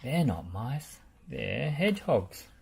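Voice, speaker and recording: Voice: medium pitch, sing-song, takes it slowly, vocal fry, a little mellow, voice pushed up, heavily acted. A storyteller voicing a friendly, matter-of-fact woman who runs a building yard office in a children's storybook, with background noise. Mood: amused.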